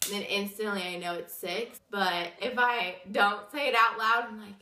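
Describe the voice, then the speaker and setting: A woman's voice: mid-range voice, steady tone, moderate pace, bright, forceful tone, echoey room. A young woman with a sick, wheezy voice, chatting and rambling through a casual story time vlog. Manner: chatty, rambling with many fillers. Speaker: vlogger.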